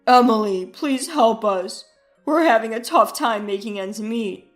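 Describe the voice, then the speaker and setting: A woman's voice: fairly high pitch, unhurried, soft background music, close to an everyday voice. A woman voicing a needy, wheedling ex-husband in a dramatized story, pleading and plaintive.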